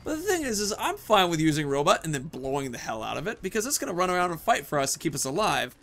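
A man's voice: fairly high pitch, very animated, quick pace, a bit bright, soft background music. A man in his thirties giving casual, playful gameplay commentary, rambling and talking to himself as he plays. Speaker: gaming video host.

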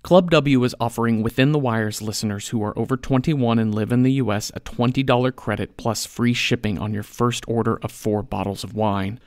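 A man's voice: medium pitch, fairly even intonation, fairly quick, hardly any pauses, dry recording. A man reading a podcast sponsor ad in a casual, wry, conversational way. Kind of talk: conversational scripted ad read.

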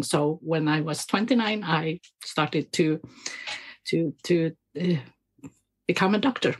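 A Swedish woman in her fifties with a Swedish accent, a doctor guest speaking reflectively and hesitantly. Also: lowish voice. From Sweden. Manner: hesitant, many fillers and restarts, reflective. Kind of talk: interview conversation.

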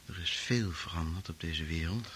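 An actor playing an earnest, gentle, idealistic professor in a Dutch radio play. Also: male voice, low voice, normal speed. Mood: soothing. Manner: soothing, earnest, often hushed. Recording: hissy old radio drama recording.

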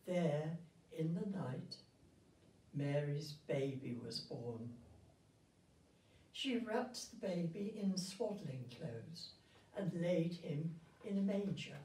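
Older lady reading a kids story real slow, bit distant and roomy. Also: deep voice, expressive, dark tone. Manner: measured, deliberate phrasing with pauses. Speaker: story reader. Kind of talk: reading a children's nativity story aloud. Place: indoor room, seated before a festive backdrop.

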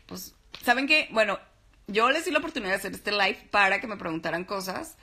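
A Mexican woman in her thirties, lying in bed and chatting casually on a live stream, reading out fan comments in a relaxed, affectionate way, recorded on a phone held at arm's length. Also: medium pitch, brisk, vocal fry. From Sinaloa, Mexico. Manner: relaxed, chatty, calls viewers "mi amor" and "baby". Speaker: actress and TV host doing a live stream. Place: lying in bed in a bedroom, indoors.

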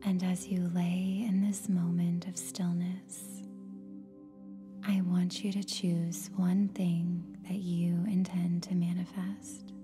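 A woman with a soft, breathy, near-whispered voice guides a meditation in a soothing, gentle way, leaving long pauses between phrases. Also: lowish voice, flat delivery. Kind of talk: guided meditation, scripted narration. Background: meditation music with singing bowl tones.

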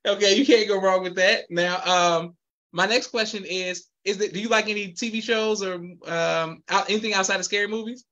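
A man hosting a podcast interview over a video call, upbeat and quick to laugh. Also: sits high, steady tone, bright, forceful tone, lo-fi sound. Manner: upbeat, laughs often, repeats "you can't go wrong". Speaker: podcast host.

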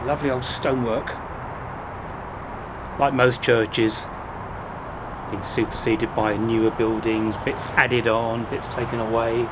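A man's voice: medium pitch, flat delivery. Chatty man amid traffic roar. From England. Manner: chatty, rambling, pauses to muse. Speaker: vlogger. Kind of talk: casual walking vlog. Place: outdoors by a country churchyard near a motorway. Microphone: handheld camera at arm's length. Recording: loud traffic noise behind.

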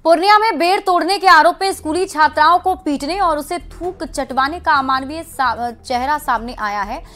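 High-voiced woman reading news. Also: pushed and bright, faint music behind. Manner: brisk formal headline delivery. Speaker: news anchor. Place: television studio.

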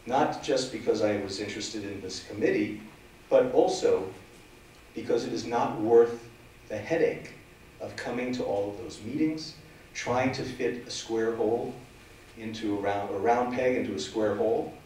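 A middle-aged man giving public comment at a hearing, forceful and emphatic, standing and gesturing as he speaks. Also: on the low side, normal speed, slight background noise. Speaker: legal aid director giving public comment. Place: council chamber. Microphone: standing away from desk mic. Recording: echoey chamber, far from mic.

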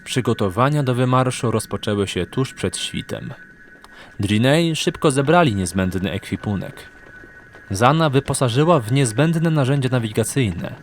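A man's voice: medium pitch, expressive, moderate pace, a little hiss or hum. A man reading scripted narration for a fantasy audiobook.

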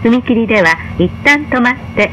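Female announcer, slightly high voice, formal and measured, for scripted in-car train announcements. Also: expressive, fairly bright tone, a little hiss or hum, rough recording.

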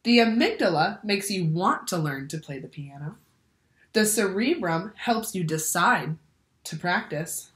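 A young adult woman reading a picture book aloud to children in a deliberate, instructive way. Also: medium pitch. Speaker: teacher or librarian reading to children. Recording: echoey room.

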